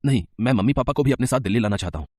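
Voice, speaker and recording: A man voicing an earnest, emphatic young married son in an animated storybook tale. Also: mid-range voice, rapid-fire, dry recording, clean studio sound, lightly acted. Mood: concerned.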